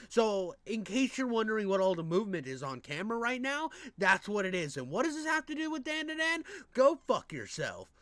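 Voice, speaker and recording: A man's voice: high-pitched, sing-song, brisk, hardly any pauses, fairly bright tone, no room sound. A man with a nasal voice rambling casually and crudely in reaction commentary, self-deprecating and often repeating himself. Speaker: streamer reacting to a video.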